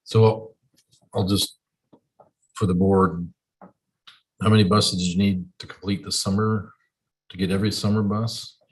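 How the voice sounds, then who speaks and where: A man's voice: low-pitched, little pitch movement, unhurried, long pauses, poor audio. A man speaking informally and directly as a school board member in a meeting discussion. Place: indoor board meeting room.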